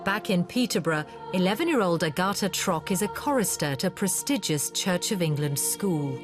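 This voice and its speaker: A woman delivering scripted, measured narration for a television news documentary. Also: lowish voice, lively intonation, music in the background.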